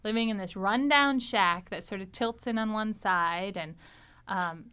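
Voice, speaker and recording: A woman hosting and editing a podcast, chatting in a studio, lively and quick to laugh. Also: mid-range voice, clear, resonant tone. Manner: animated, laughs often. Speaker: podcast host and editor. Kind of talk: casual chat.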